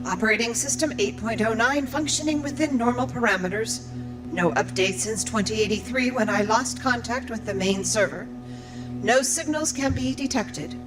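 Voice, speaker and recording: A lonely robot librarian played by a woman with a high voice, over clear background music. She is formal and exact, an earnest machine with a wistful streak, in an audio drama monologue. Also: lightly acted, low-quality recording. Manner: formal, precise recitation of data.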